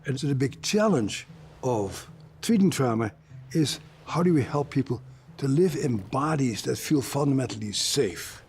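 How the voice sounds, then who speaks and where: An older man, a psychiatrist with a gravelly voice, explaining things in a measured way in an interview, with wry asides. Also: medium pitch, expressive, a little mellow, faint music behind.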